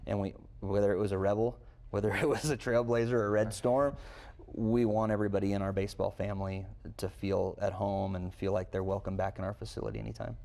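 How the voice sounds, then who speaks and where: A man's voice: lowish voice, level tone, a little fast, creaky, few pauses, a little mellow. A man speaking as a college coach in an interview, conversational and earnest, building points through repetition. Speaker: college baseball head coach, interview guest. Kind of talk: unscripted interview answers.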